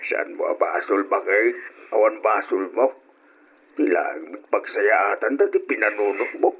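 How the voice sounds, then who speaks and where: An actor in an Ilocano radio drama playing an older, sorrowful, consoling husband with a husky voice. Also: male voice, lowish voice, measured pace, a little hiss or hum, close to an everyday voice. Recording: dull, muffled radio drama recording.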